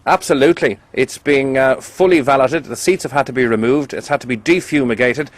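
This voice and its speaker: A man with an Irish accent playing an officious, deadpan taxi association official on a prank call, in hesitant, mock-formal language. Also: mid-range voice, brisk, lightly acted. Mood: officious, grave. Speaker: radio prank caller.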